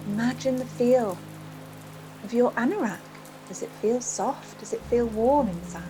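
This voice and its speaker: Female relaxation guide for a children's bedtime meditation: medium pitch, gentle, pausing delivery, set over prominent music and rainfall. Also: rough recording. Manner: soothing, gentle, unhurried instruction with pauses. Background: music and rain sounds.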